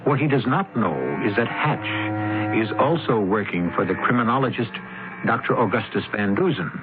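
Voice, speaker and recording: A man hosting and narrating suspense radio drama in a dramatic, deliberate manner. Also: fairly low pitch. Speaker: host and narrator. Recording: narrow-band radio broadcast, loud music behind.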